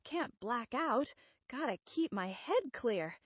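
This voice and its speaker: Hulking monster hero, played by a woman: street slang, tough but tender, swooping pitch, comic-book story read aloud. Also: sing-song, slow pace, slightly rough, no echo, full character voice. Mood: desperate. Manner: slangy tough-guy talk with dropped grammar.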